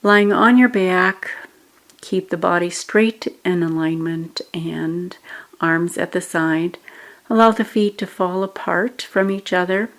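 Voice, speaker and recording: Slow, low-pitched woman guiding meditation. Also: a bit bright. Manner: measured, instructional, with pauses between short phrases. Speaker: meditation guide. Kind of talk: guided meditation narration.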